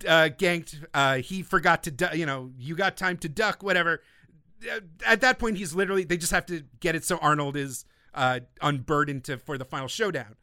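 A man's voice: fairly high pitch, pushed and bright, no room sound, clean studio sound. A man co-hosting a film podcast in casual chat, telling stories animatedly and swearing freely. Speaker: podcast co-host.

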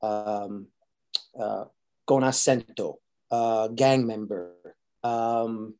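A man's voice: on the low side, steady tone, drawn-out words, dark tone, clean tone. A man, an actor, answering interview questions casually, mixing Spanish and English with many fillers and restarts.

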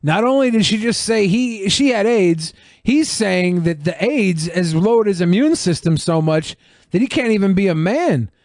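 High-pitched, animated male podcast co-host. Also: moderate pace, no echo, clean studio sound. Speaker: podcast co-host reacting to a video. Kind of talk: casual, crude comedic banter.

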